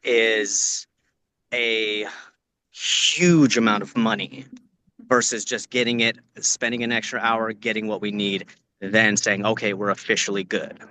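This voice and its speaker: A man's voice: on the low side, steady tone, measured pace, sharp tone, rough recording. An American man from California giving casual, earnest advice as a working actor, repeating phrases for emphasis. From Orange County, California. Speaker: working actor answering questions. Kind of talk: casual spoken advice to acting students. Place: outdoors on location, on a video call.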